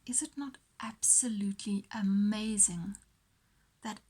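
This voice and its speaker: A woman host leading a guided visualisation in a motivational coaching style, speaking deliberately with pauses and repeated phrases. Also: medium pitch, fairly even intonation, warm tone, husky.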